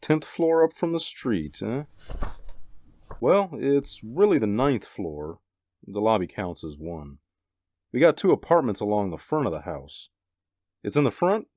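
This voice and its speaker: A man playing a plain-spoken, sceptical building superintendent in a radio drama, offhand and matter-of-fact with hesitant pauses. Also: lowish voice, big pitch swings, darkish tone, exaggerated voice. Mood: puzzled, businesslike.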